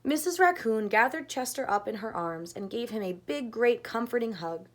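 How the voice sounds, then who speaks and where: Expressive woman storyteller, fairly high voice. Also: normal speed. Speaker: storyteller reading aloud to children. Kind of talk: expressive picture book narration.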